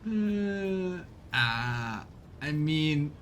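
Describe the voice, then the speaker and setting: A man's voice: expressive, very slow, a bit bright. A man giving casual commentary as he plays a game on stream. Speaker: let's play streamer voicing the game's characters. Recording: rumbly background noise.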